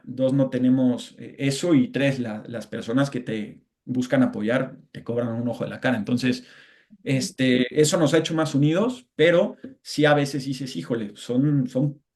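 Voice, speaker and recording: A man's voice: mid-range voice, a little mellow. A Mexican man, an interview guest, talking reflectively in a casual conversation, often restarting and adding tag questions.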